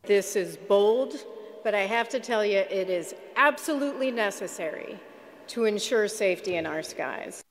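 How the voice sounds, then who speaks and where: A middle-aged woman making a measured, emphatic prepared speech at a podium microphone. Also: mid-range voice, animated. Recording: reverberant hall.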